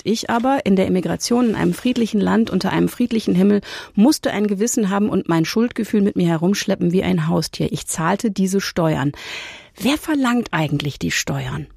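Woman, interviewer, low pitch, gravelly, husky, articulate, dry sound. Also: darkish tone, no room sound. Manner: articulate, reads quotes aloud, laughs lightly. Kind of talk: literary interview.

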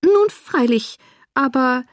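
A woman's voice: high voice, lively intonation, slow pace, dry recording, clean studio sound, pitched above the performer's own voice. A woman voicing a fretful, self-pitying young married woman with a plaintive, complaining manner in an audiobook. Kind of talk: audiobook character dialogue.

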